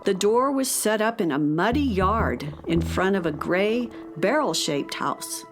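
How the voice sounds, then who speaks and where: A woman's voice: mid-range voice, expressive, unhurried. Female storyteller with music behind. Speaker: narrator. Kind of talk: scripted children's story narration.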